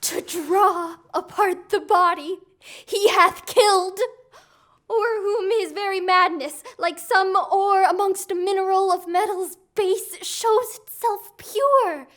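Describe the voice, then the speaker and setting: Woman acting a distraught queen, very high voice, slow grave verse, Shakespearean audio drama. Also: fairly bright tone, full character voice. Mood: grieving. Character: distraught queen and mother of a mad prince.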